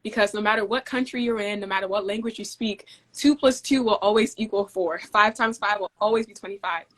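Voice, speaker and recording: A young woman from Denmark, a guest in a relaxed interview, talks at an even middle pitch. Her delivery is measured and friendly as she walks through technical ideas step by step. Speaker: guest, engineer. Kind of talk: casual interview conversation.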